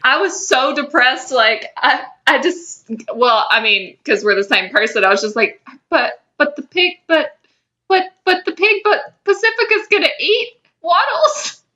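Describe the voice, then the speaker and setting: A woman's voice: high-pitched, lively intonation, measured pace, bright, forceful tone. A woman co-hosting a podcast, chatting casually and expressively about a cartoon. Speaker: podcast co-host.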